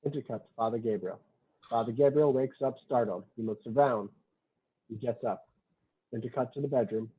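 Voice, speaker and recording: A man's voice: medium pitch, brisk, pauses often, husky. A man reading screenplay stage directions aloud at a table read, matter-of-fact, in short clipped sentences. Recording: thin, phone-like online call audio.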